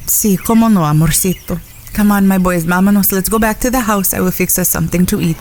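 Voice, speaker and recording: A woman's voice: medium pitch, quick pace, darkish tone, close to an everyday voice. A woman playing a tender, motherly older housekeeper with a Spanish accent in a western audio drama. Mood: gentle, caring. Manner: tender, slips into Spanish endearments.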